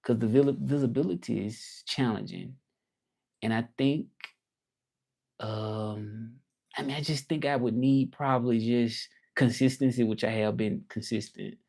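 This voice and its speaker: A man's voice: mid-range voice, steady tone, measured pace, gravelly, warm tone. A man from Memphis with a Southern accent, talking casually and reflectively on a livestream, rambling with many fillers. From Memphis, Tennessee. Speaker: livestream host.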